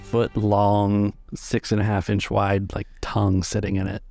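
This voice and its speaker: A man's voice: fairly low pitch, completely flat delivery, measured pace, creaky, darkish tone, dry recording, clean studio sound, faint music behind. A man acting as game master, casually narrating and refereeing a tabletop roleplaying game.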